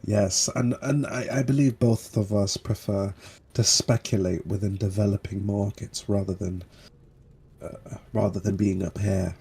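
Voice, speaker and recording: A man's voice: on the low side, fairly even intonation, unhurried, vocal fry, dark tone, breathy edge, heavily acted. A man playing a scheming, bony male summoner who talks formally and stammers and restarts often. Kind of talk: tabletop roleplay in character.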